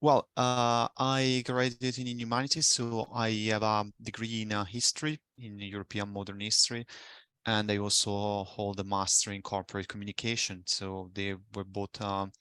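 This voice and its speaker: A man with an Italian accent speaks in a low, level voice, pausing often to find his words. He is a graduate student answering questions on a webinar video call, and the sound is close and dry. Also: little pitch movement, fairly bright tone, clear, resonant tone, dry recording. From Italy. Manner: careful, searching for words with pauses. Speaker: current online graduate student.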